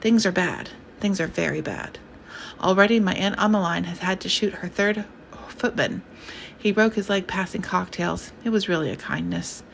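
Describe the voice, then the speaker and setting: A woman voicing a pompous, alarmist older conservative gentleman who grumbles and fusses indignantly. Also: lowish voice, normal speed, gravelly, slight background noise. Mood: alarmed.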